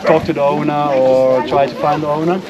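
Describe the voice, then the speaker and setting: A man with a Mexican accent, a native Spanish speaker, casually explaining things to visitors in halting English. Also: a little high, level tone, lo-fi sound, over a noisy crowd. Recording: loud music under handheld camera audio.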